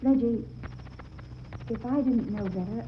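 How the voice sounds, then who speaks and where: An echoey old radio recording of a refined young woman, played by an actress. She is fairly high-voiced, earnest and uneasy, in theatrical horror serial style. The sound is dulled. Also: a little slow, close to an everyday voice. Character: anxious, inquisitive refined young woman visiting a museum. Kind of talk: 1940s radio horror acting. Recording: dull, echoey, faintly noisy vintage radio.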